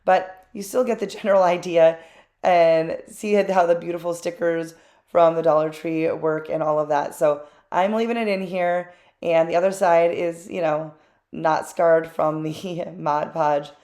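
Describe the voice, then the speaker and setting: Lady talking over her craft video, kinda low voice, lots of ums and little side comments. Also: fairly even intonation, measured pace, warm tone. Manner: chatty and candid, frequent fillers and asides. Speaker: craft channel host.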